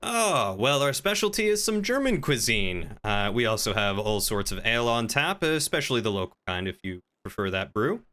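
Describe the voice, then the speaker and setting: A man's voice: mid-range voice, lively intonation, normal speed, few pauses, bright, forceful tone. A man improvising in-character roleplay chat as a courteous, folksy tavern keeper in an online game.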